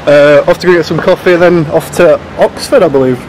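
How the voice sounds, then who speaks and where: A man's voice: fairly high pitch, a little fast, darkish tone, slight background noise, poor audio. A man, chatting and joking on a handheld road-trip vlog, asking his friend questions. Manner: jokey, laughing, asks questions. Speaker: vlog presenter. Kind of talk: casual chat. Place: outdoors on town streets and in venues.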